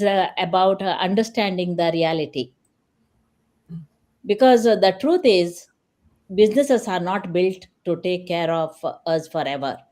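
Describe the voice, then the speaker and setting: A woman's voice: medium pitch, darkish tone. An older woman from India talking earnestly on an online panel, phrasing her points carefully with some hesitation. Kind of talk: earnest panel talk on a livestream. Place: remote online livestream call.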